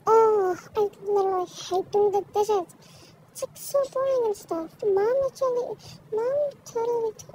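Woman putting on a very high, whiny little kid. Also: mellow, a little hiss or hum, poor audio. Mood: whiny, bored. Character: small child, whiny and playful, in a family.

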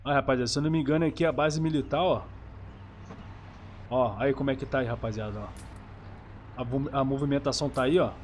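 A man's voice: mid-range voice, brisk, long pauses, a little hiss or hum. A Brazilian man giving casual, enthusiastic gameplay commentary full of slang, speaking into a gaming headset. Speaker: streamer, host of the channel.

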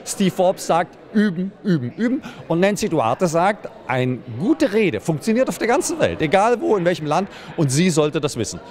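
A man's voice: sits high, sing-song, moderate pace, darkish tone. A middle-aged man, a native German speaker, working as a reporter doing on-site event interviews. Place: busy hotel convention lobby. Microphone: handheld mic with furry windscreen. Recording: busy crowd chatter around him.

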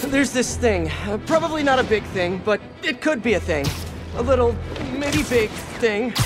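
Young American superhero, nervous rambler, quippy, very animated pitch, video game, music behind. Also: male voice, normal speed. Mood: nervous, rambling. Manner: rambling, self-questioning, quippy.

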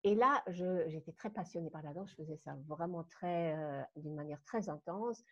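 A husky, fairly low-voiced woman in her fifties with a German accent, animated and given to hesitations and small laughs. She speaks into a webcam from her home. The sound is muffled, as on a video call. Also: normal speed. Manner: animated, laughs between phrases, frequent hesitations. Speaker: guest dance teacher. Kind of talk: personal storytelling on a live call. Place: home room.

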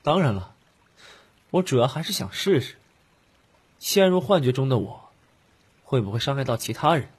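A young Taoist wanderer in an audiobook, played by a man, wary and brooding. His voice is very husky and sits at a medium pitch, as if he speaks mostly to himself. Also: big pitch swings, normal speed, pauses often. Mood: musing, resigned. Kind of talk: audiobook character dialogue.

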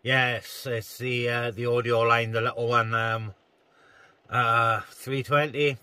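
A man's voice: medium pitch, little pitch movement, takes it slowly, bright, forceful tone, no echo. A man operating a CB radio, chatting casually to other operators and to his viewers. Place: inside a parked car on a hilltop.

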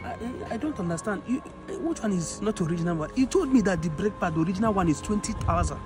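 A man's voice: high voice, animated, fast. A man with a Nigerian accent playing a generous, wronged customer, speaking emphatically and repeating himself in a skit. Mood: indignant, baffled. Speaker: actor in an online skit. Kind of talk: improvised moral skit acting. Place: outdoors in an open car yard. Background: music, vehicles.

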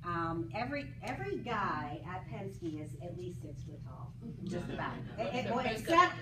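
Animated woman moderating a panel. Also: lowish voice, moderate pace, a crowd in the background. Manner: animated, laughs readily, says she has a big voice. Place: conference room with many seated people. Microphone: far camera. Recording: echoey room, camera far off, noisy.

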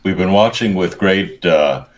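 An older man with a very low voice gives news commentary over a video call. He has a cold and talks haltingly, often stopping to search for the right word. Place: home room, video call.

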